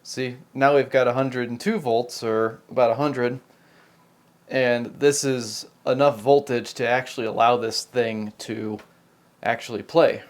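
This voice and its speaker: A man's voice: medium pitch, darkish tone. Casual American man thinking aloud. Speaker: host of a repair video channel. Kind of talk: unscripted hands-on technical demonstration.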